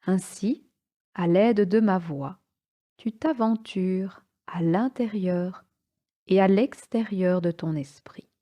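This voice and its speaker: A woman instructor leading a guided relaxation meditation in a calm, soothing manner, with unhurried pauses between short phrases. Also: mid-range voice, dull audio. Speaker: yoga nidra instructor.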